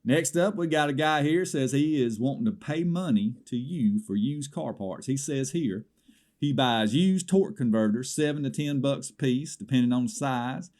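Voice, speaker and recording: A man's voice: medium pitch, normal speed, darkish tone, natural voice. A man playing an indignant small-town caller with a Southern American accent, rambling through a comedy swap shop report with exasperated asides. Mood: folksy, wary.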